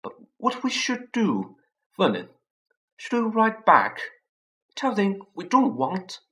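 A man doing a shrill, quivering aunt. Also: wildly swooping pitch, moderate pace. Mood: frightened. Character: nervous, fussy middle-aged aunt.

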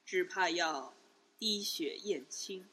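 A woman playing a cool, calculating empress in a Chinese period audio drama, speaking in a grave, deliberate way. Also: lowish voice, sharp tone, exaggerated voice, low-quality recording. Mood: quiet scheming.

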